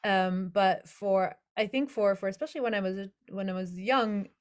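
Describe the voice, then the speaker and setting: A young adult woman speaks in a relaxed interview, feeling her way through her answers. She hesitates often and restarts her sentences, sounding reflective rather than polished. Her voice sits at an everyday middle pitch. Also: moderate pace. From Netherlands. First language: English as first language, also Hebrew and Dutch. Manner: thoughtful, hesitant, many fillers and restarts. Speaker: author. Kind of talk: casual interview conversation.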